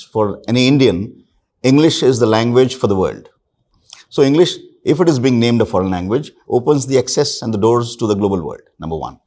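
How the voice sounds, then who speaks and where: Animated man making emphatic points. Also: medium pitch. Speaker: school leader on a panel. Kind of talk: panel discussion.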